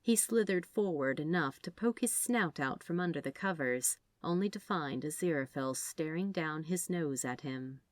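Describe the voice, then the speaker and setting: A woman with a slightly low voice narrates fiction expressively. Her intonation moves a lot, and the recording is close and dry, with very little room sound. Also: lively intonation, moderate pace, few pauses, no echo. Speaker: audiobook narrator of fan fiction. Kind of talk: expressive scripted story narration.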